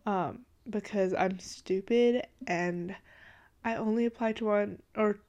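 A woman's voice: medium pitch, fairly even intonation, slow, a little mellow. A young woman from Washington state hosting a podcast, chatting casually about her life with lots of "like" and "literally", a little congested from allergies. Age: young adult. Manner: rambling, full of "like" and "literally", with giggles. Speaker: podcast host. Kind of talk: casual chat. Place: her dark bedroom at night.

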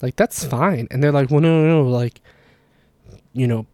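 A man's voice: mid-range voice, normal speed, a little mellow. A man with a mid-range voice explains language points in a loose, conversational way. He keeps slipping in self-deprecating apologies and asides. The sound is close and dry, with no room echo. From Levantine, Palestinian or Jordanian Arabic background. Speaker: language educator. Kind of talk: casual explanatory monologue.

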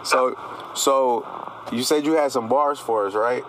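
A man's voice: measured pace, fairly bright tone. A man hosting a podcast, chatting casually in a hyped, slangy way. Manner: slangy, heavy on "bro" and "man". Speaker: podcast host. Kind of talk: casual hyped interview chat. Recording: noisy line with rumbling background.

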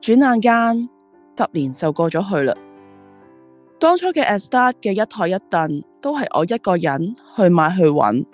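A woman playing a driven, proud and reflective female ad agency boss in a radio drama, with a Hong Kong Cantonese accent. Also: medium pitch. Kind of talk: radio drama dialogue and monologue.

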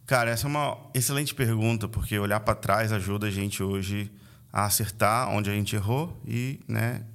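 A man with a capixaba accent from Espírito Santo, in his late thirties, speaking in a fairly low voice. He tells his own story as a podcast guest in an earnest, reflective way. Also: normal speed, fairly bright tone. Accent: Brazilian Portuguese, Espírito Santo (capixaba). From Vitória, Espírito Santo, Brazil. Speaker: guest, missionary and church leader. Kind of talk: conversational podcast interview.

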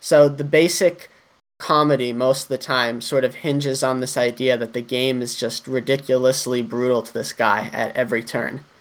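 A man co-hosting a relaxed podcast chat at an ordinary middle pitch. He rambles and restarts often, padding his talk with fillers as he explains things. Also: normal speed. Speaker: podcast co-host.

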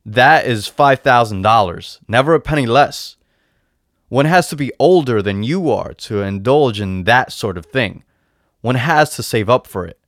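A man's voice: mid-range voice, animated, unhurried, a bit bright, no echo, clean studio sound, pitched above the performer's own voice. A man voicing a sly old shopkeeper in a storybook tale, patient, persuasive and emphatic, savoring his words. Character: sly old male shopkeeper selling potions, patient and coaxing. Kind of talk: storybook character voice in a read-aloud tale.

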